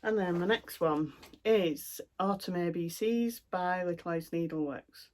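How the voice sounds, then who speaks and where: A woman's voice: fairly low pitch, measured pace, darkish tone. A middle-aged woman with a broad Lancashire accent, chatting casually about her cross-stitch projects, with lots of ums and asides. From North West England. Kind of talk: casual show-and-tell chat.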